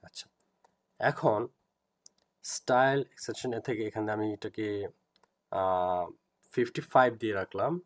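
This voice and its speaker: A man with a Bangladeshi accent giving an informal software tutorial, explaining step by step and repeating himself as he teaches. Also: lowish voice, slow, long pauses.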